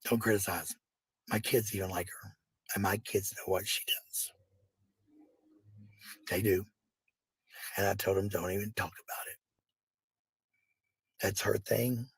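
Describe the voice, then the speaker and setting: A middle-aged man with a gravelly, husky voice talking casually to viewers in a blunt, profane, rambling monologue, repeating phrases for emphasis. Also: on the low side, steady tone, a little slow, pauses often, a bit bright, no room sound, rough recording.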